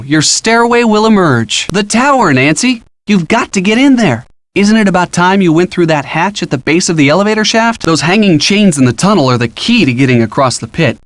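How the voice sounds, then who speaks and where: A man plays an eager young male sidekick from a detective video game. His voice sits fairly high, and he gives earnest, encouraging hints, clearly scripted, in a dry, close recording. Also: no room sound, natural voice. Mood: urgent, excited. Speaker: hint-giving game character.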